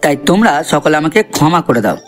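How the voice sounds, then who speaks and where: A man's voice: a little high, sing-song, fast, fairly bright tone. A man voicing an earnest, chatty cartoon character, explaining things to viewers. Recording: voice over background music.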